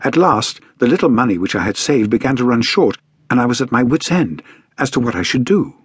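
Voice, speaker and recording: A man's voice: mid-range voice, very animated, brisk, husky, dry recording. A man voicing a polite, self-reliant young British governess in an audiobook, earnest, courteous and precise. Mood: matter-of-fact. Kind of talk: audiobook character acting.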